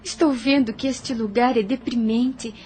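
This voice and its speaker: High-voiced headstrong young Brazilian heiress played by an actress. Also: female voice, steady tone, unhurried, a little mellow, slight background noise, natural voice. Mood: solemn resolve. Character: headstrong young heiress, proud, determined, sharp-tongued. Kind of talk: audio drama acting.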